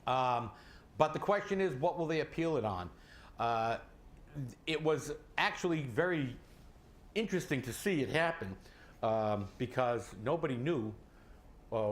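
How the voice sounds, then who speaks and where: A man co-hosting a local talk show, reporting breaking news with hesitant fillers. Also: mid-range voice. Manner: hesitant, many filler sounds. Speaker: co-host reading news. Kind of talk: news report then commentary.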